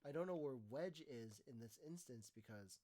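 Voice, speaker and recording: A man co-hosting a casual chat, explaining things haltingly with many fillers and restarts. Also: mid-range voice, animated, dark tone.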